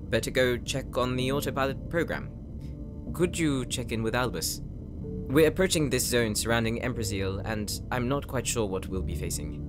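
An actor playing an earnest, insecure young knight in an audio roleplay, speaking gently and hesitantly, as if confiding in his sister. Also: mid-range voice, close to an everyday voice. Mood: gentle. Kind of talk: audio roleplay drama, intimate monologue.

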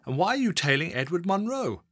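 A man voicing a blustering middle-aged male senior police chief who scolds gruffly and with exasperation. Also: high voice, very animated, brisk, fairly bright tone, dry recording, studio quality, voice pushed up, heavily acted. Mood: exasperated.

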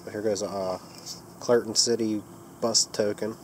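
A man's voice: on the low side, level tone, normal speed, slight background noise, low-quality recording. A man with a cold narrating his metal detecting finds outdoors, speaking casually to viewers while he digs. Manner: plain, upbeat, talks to viewers while working. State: stuffed up from a cold. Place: outdoors in woods and a yard.

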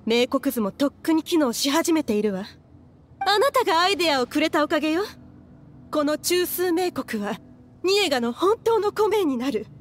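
A woman's voice: sits high, sing-song, normal speed, a little hiss or hum. A woman playing a grieving, obsessive mother in a Japanese anime game, speaking with fervent emotion. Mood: grim resolve. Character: grieving, obsessive mother desperate to revive her daughter.

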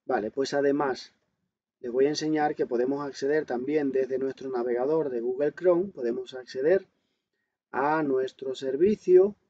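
A man's voice: mid-range voice, warm tone. A man instructor giving a step-by-step smartphone tutorial in an explanatory way, often asking questions and answering them himself, as a voice-over with dull, lo-fi sound. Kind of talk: step-by-step smartphone tutorial, talking while demonstrating. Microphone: voice-over on a screen recording.

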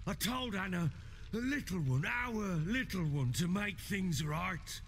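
Gravelly, grief-stricken drunken baron. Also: male voice, high-pitched, expressive, fairly bright tone, a little hiss or hum, heavily acted. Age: older. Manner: anguished, halting, archaic coarse speech. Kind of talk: dramatic video game acting.

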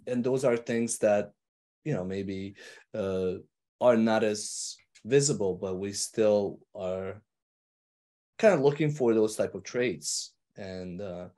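Hesitant man with a mellow voice. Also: on the low side, takes it slowly. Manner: hesitant, searching for words. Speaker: panel moderator. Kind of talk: casual panel discussion. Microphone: video call.

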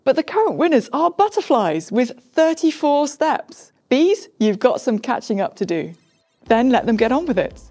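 Middle-aged woman, warm and mellow, clear friendly tutorial delivery, faint music bed. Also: fairly high pitch. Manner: clear, friendly explaining with lively emphasis. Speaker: software coach. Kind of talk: explanatory tutorial to camera. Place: studio with plain backdrop.

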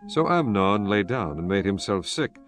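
A man's voice: lowish voice, big pitch swings, normal speed, fairly bright tone. A man narrating scripture as a scripted dramatized reading, in a formal, solemn storytelling manner. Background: background music.

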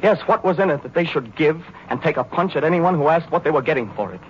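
A dull, faintly noisy vintage radio recording carries an actor as a young American navy pilot. His voice sits a little high, and he speaks with theatrical intensity, a hard-bitten cynic turned fervent believer in a 1940s drama. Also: expressive, fast talker, natural voice. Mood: brooding, searching. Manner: intense, theatrical, searching delivery.

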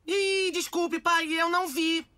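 A woman with a Brazilian accent playing a cocky, mischievous eleven-year-old boy in an animated cartoon dub. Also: very high voice, takes it slowly, full character voice. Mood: scheming. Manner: cocky, excitable. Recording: loud music behind the voice.

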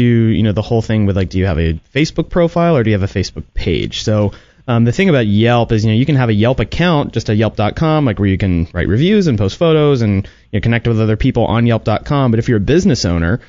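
A man speaks as a guest expert in a casual, chatty style. His voice is low and mellow. The sound is dry and somewhat dull. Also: no echo. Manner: informal, chatty. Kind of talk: casual explaining.

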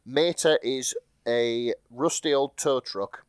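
A man's voice: medium pitch, slow pace, clean tone, dry recording, studio quality. A man podcast host with a wry, explanatory manner, chatting casually and reading aloud for laughs. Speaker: podcast host reading and recapping fan fiction. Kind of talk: casual comic chat with read-aloud.

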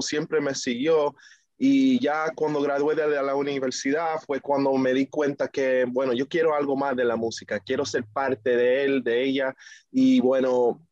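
Young man, from the United States, relaxed chatty guest, fillers and restarts, dull lo-fi call audio, dry sound. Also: medium pitch, no echo. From United States, of Dominican family. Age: young adult. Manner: relaxed, laughs easily, many fillers and restarts. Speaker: guest musician. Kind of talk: casual interview answers. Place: small indoor room, video call.

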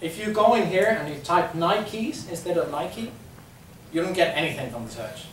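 A man's voice: a little high, lively intonation, normal speed. A man in his thirties with a Finnish accent, giving a casual business talk to a room, repeating words and saying um a lot. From Finland. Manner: chatty, repeats words, many ums. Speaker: presenter. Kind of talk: business presentation. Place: conference room with audience. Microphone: far camera, no mic. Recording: echoey room from far off, faint hum.

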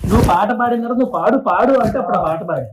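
A man's voice: sits high, very fast. A man in a casual, heated argument, talking back and defending himself. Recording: noisy amateur recording.

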